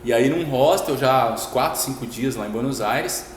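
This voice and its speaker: A relaxed man tells a travel story in a laid-back conversational way, often checking in with the listener. His voice sits at a middle pitch and bounces around a roomy, echoey space, with a long reverb tail and steady background noise. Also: moderate pace. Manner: relaxed, anecdotal, laughs easily, frequent "né?". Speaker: guest, history teacher. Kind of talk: casual storytelling in interview.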